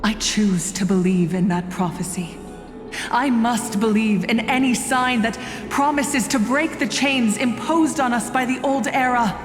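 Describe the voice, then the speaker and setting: An actress playing a proud, righteous young warrior in a video game, declaiming in a commanding, formal way. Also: female voice, a little high, measured pace, close to an everyday voice. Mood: resolute. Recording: echoey hall, music behind.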